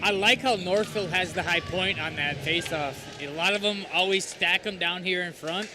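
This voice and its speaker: A man's voice: high-pitched, normal speed, noisy background. A man doing color commentary on a hockey game, chatty and joking in his asides.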